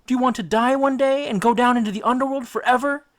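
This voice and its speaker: A man's voice: extremely high pitch, steady tone, fast talker, dry recording, studio quality, pitched above the performer's own voice. A man voicing a lovesick immortal nymph woman who pleads in a clingy, insistent way for a storybook. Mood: desperate pleading.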